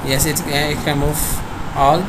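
Young Pakistani man, noisy recording. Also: a little high. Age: young adult. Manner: halting, non-native English phrasing. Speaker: student presenter. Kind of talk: screen-recorded software demonstration. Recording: noisy home screencast, steady background hum. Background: constant clear background noise.

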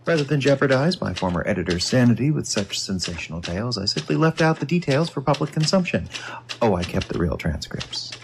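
Man playing a low-voiced retired reporter narrating. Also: background noise. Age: older. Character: retired investigative reporter, earnest, wry, world-weary narrator. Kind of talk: first-person audio drama narration.

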